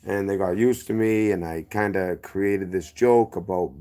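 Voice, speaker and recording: Man, thick Boston accent, very deep voice, rambling guest storyteller, drifts off on tangents. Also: a little slow, dark tone. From inner-city Boston. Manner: rambling, drifting between tangents and correcting himself. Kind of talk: casual storytelling.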